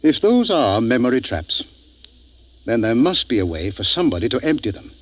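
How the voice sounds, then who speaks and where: An earnest ship's doctor in old-time radio science fiction. He explains things deliberately and emphatically, his mid-pitched voice rising and falling a great deal. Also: sing-song, no echo. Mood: earnest, amazed. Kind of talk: 1950s radio drama acting. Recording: 1950s radio drama recording.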